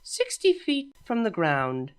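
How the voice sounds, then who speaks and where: A man's voice: very high-pitched, huge pitch swings, a bit bright, clean tone, pitched above the performer's own voice, full character voice. A man doing a drawling, dismissive princess mare. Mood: musing. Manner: slow, formal and dismissive. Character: dry, unbothered younger princess pony, mare, formal.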